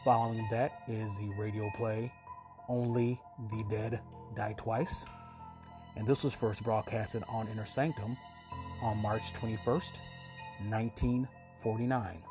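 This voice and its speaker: Slow-talking man in his fifties, music behind. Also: lowish voice, little pitch movement. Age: 50s. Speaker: podcast host. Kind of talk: casual scripted show introduction.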